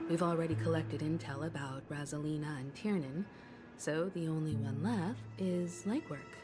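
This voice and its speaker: An actress playing a poised, perceptive woman who leads an expedition in a video game, composed, polite and gently probing. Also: deep voice, normal speed, music in the background, lightly acted. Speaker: video game character voice actor. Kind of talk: dramatic game dialogue.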